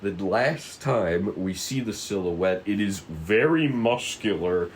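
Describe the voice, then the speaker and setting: A man reads out stage directions in a low voice at a slow pace. He narrates haltingly, tripping on words at times, with faint noise in the background. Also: expressive. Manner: halting, often stumbling over the script. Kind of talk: reading script stage directions at a table read.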